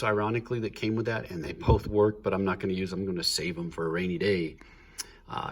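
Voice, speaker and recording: A man's voice: medium pitch, fairly bright tone. A man casually presenting a hobby build in an informal, chatty, lightly joking way, recorded on a handheld phone. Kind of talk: casual show-and-tell walkthrough.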